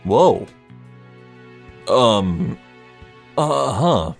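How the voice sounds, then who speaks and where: Male let's player, mid-pitched, casual commentary, music in the background. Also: sing-song, extremely slow, vocal fry. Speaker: video game let's player.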